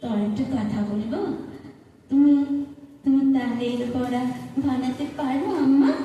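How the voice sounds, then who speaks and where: A woman acting an eager, lonely little schoolgirl in a stage drama, with a childlike, pleading, excitable delivery. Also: a little slow, lo-fi sound. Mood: eager, coaxing. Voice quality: childlike, high voice. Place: large hall at a recitation festival. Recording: echoey hall, distant mic, faint noise.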